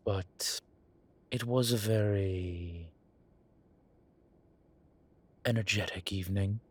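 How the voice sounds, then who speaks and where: A man voicing a flirtatious, smitten demon professor in an intimate audio roleplay, husky and breathy, with halting, wry phrasing. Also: lowish voice. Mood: wry, gently concerned. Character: teasing, smitten male incubus demon professor. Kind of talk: intimate one-sided audio roleplay acting.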